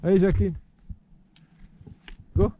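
A man's voice: high voice, big pitch swings, slow. A man skiing and filming as he coaches his children, calling out short, repeated, encouraging commands. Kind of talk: shouted ski coaching while filming. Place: outdoor snowy mountain ski slope and forest. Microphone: action camera worn while skiing. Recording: dull lo-fi action-camera sound with faint wind.

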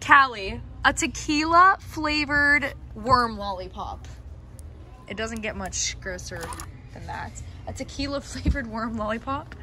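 A chatty young woman talks to her handheld camera as she shops, bubbly and quick to gasp or giggle. Her voice sits a little high. The busy chatter of a shopping mall fills in behind her. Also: animated, pushed and bright. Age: young adult. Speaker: vlogger. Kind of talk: casual shopping vlog. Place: indoor shopping mall and candy store. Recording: noisy mall ambience.

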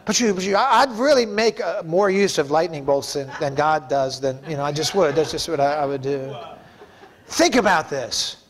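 High-pitched, emphatic male preacher. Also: moderate pace. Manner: emphatic, folksy, conversational with raised-voice bursts. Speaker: pastor. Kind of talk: sermon. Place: church sanctuary, preaching from a pulpit.

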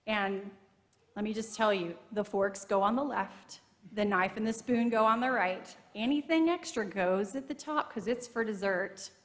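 A middle-aged woman preaches from a pulpit microphone, telling stories in an easy conversational way. Her voice sits at a mid pitch. It rings with the long reverb of a church sanctuary. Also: normal speed. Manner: conversational storytelling from the pulpit, gesturing. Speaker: pastor. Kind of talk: sermon.

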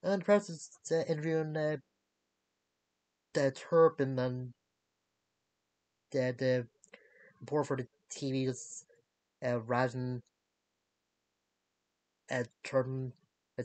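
A young adult man giving a casual recap in a halting, disjointed way, searching for words. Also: mid-range voice, a little mellow, dry recording. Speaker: reviewer of animated series. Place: small cluttered bedroom, indoors.

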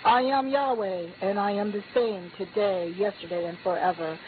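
A woman's voice: medium pitch, steady tone, moderate pace. A woman speaks a prophecy in the first person as God, with a solemn, chanting cadence that climbs on each refrain. The sound comes through a narrow, phone-like line. A faint hiss sits underneath. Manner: solemn, repetitive declaring cadence, lifting on key phrases. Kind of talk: prophetic utterance spoken as God.

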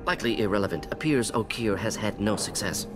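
An actor playing a brisk, curious alien scientist in a video game, speaking in clipped, telegraphic fragments. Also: male voice, fairly low pitch, lively intonation, natural voice. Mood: analytical. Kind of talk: video game cutscene acting. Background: background music.

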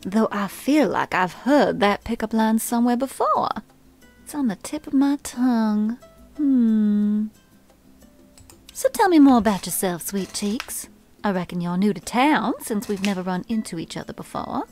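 A woman's voice: mid-range voice, unhurried, darkish tone, soft background music, close to an everyday voice. A woman voicing a flirtatious belle in a video game, calling everyone sugar and darling. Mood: teasing. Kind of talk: video game character dialogue.

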